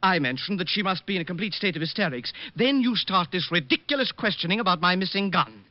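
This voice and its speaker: An actor playing a haughty, sardonic husband with a pompous, precise way of talking in a mid-century radio detective drama. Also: male voice, sits high, lively intonation, fast, dry recording. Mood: indignant. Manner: pompous, precise, indignant wit. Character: haughty, prickly, sardonic husband, fussily polite. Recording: dull old radio recording.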